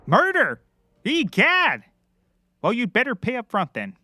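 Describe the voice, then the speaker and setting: High-pitched, cocky clueless private eye. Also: male voice, wildly swooping pitch, a little slow, sharp tone, dry recording, heavily acted. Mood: glib, excitable. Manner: glib, rambling, self-assured. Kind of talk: scripted comedy acting.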